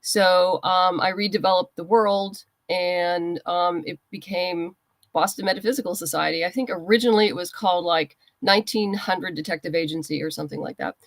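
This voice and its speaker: A woman's voice: lowish voice, normal speed, no echo. A woman being interviewed as a writer, giving explanatory, conversational answers.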